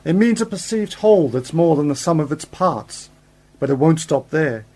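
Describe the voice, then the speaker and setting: A man's voice: a little high, expressive, moderate pace, darkish tone. A man acting a commanding, principled uncle and scientist hero in a science fiction radio play, explanatory and resolute. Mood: grave, explaining.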